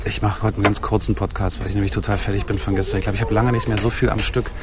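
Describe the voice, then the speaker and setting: A hoarse man hosting a podcast in a casual, rambling, self-mocking way, with dry humor. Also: fairly low pitch, flat delivery, racing, crowd noise. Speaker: podcast host. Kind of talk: casual chatty monologue.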